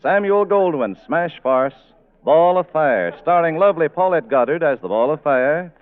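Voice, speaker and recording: A man's voice: a little high, animated, normal speed, smooth. A man announcing and narrating a 1940s radio show in a showy, polished scripted style. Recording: muffled 1940s radio broadcast with music.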